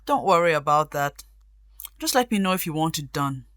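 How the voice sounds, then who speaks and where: A woman's voice: low-pitched, animated, moderate pace, fairly bright tone, no room sound. Woman acting a composed, helpful friend, dry sound. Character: single woman friend Gabrielle, helpful and self-assured. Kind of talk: radio drama acting.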